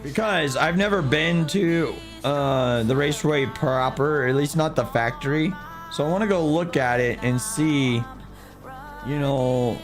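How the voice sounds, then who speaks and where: Streamer type, hoarse man with a slightly high voice, chatty with chat, music under him. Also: measured pace. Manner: chatty, greets viewers by name. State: hoarse, losing his voice. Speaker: streamer playing a driving sim. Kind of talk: casual live-stream chat.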